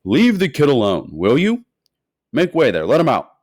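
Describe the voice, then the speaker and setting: A man voicing an excitable, kindly fat male shopkeeper who shouts with delight, in a storybook reading. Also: medium pitch, moderate pace, dry recording, clean studio sound. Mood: firm.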